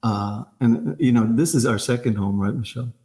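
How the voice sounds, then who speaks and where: A man's voice: medium pitch, moderate pace, dark tone, reverberant. A man from the Philippines hosting a podcast, asking questions in a chatty way with many fillers. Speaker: podcast host. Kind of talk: casual interview chat.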